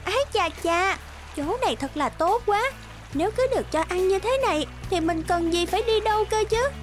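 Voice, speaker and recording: Lazy smug swan for a kids cartoon, really high and breezy, music behind. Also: female voice, lively intonation, a little fast. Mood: delighted. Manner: breezy, self-satisfied, exclaiming.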